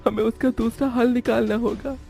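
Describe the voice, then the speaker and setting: Scared cartoon villager male performer: extremely high, gravelly edge, pleading, anxious, music behind. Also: fairly even intonation, normal speed, dark tone. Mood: worried. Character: frightened timid male villager pleading for life. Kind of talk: animated horror story dialogue.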